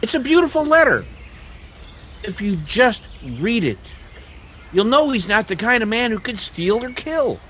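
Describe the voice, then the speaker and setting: A man's voice: extremely high pitch, very animated, measured pace, pushed and bright, a little hiss or hum, exaggerated voice. A man playing an earnest townsman in a radio western, pleading and a little hesitant. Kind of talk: old-time radio drama acting.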